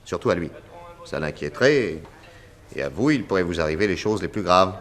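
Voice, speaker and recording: An actor playing a shrewd French detective, smooth, probing and brisk, in a 1960s radio serial. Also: male voice, low voice, lively intonation. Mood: hushed, warning.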